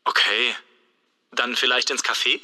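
A hesitant friend taking a call. His voice sounds bright and clearly spoken. A long reverb hangs behind it. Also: mid-range voice, fairly even intonation, a little slow. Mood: casual. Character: hesitant adult man answering a friend's call.

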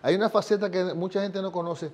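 A man's voice: sits high, steady tone, fairly quick, a little mellow. A man in his sixties, a singer, talking earnestly in an interview and often repeating words as he searches for them. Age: 60s. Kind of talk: casual interview chat. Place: bright living room set, indoors.

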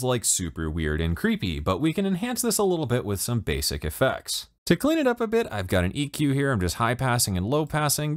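A young man with a low voice hosting a casual music production tutorial from his home studio, chatty and relaxed, speaking into a studio mic. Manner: relaxed, chatty, many fillers like "kind of" and "you know". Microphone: studio condenser mic on stand, wearing headphones.

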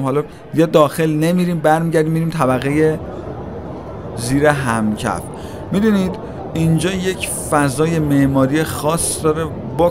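A man giving a casual, chatty walking-tour narration, talking straight to his viewers as he strolls through a large indoor shopping mall. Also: medium pitch. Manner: chatty, addresses viewers directly, musing. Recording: noisy mall ambience. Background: mall crowd ambience.

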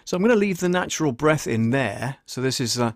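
Middle-aged British male, casual tutorial host thinking aloud, hesitant delivery, mid pitch, dry close recording. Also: lively intonation, a little fast, no echo. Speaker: voice-over artist and audio producer hosting a livestream. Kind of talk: casual screen-share tutorial talk.

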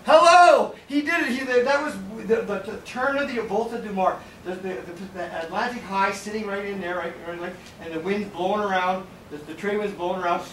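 A man's voice: sits high, brisk, few pauses, slight background noise. Older man lecturing in an echoey room. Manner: rambling, animated, with frequent restarts and asides. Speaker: sailing presenter. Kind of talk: casual slide lecture. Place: darkened lecture room with projector. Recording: echoey room from a distance, faint ambience.

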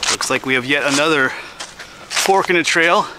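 A middle-aged man from Pennsylvania casually narrating as he hikes a winter forest trail, relaxed and slightly out of breath. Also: a little high. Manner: relaxed, chatty, pauses for breath. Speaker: hiking vlogger. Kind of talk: casual narration while hiking. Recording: outdoor noise on camera mic.

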